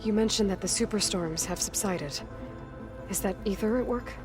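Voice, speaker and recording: An actress playing a determined, inquisitive young heroine in a video game, pensive, plain-spoken and direct. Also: female voice, medium pitch, moderate pace, over music, natural voice. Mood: casual. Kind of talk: scripted video game dialogue.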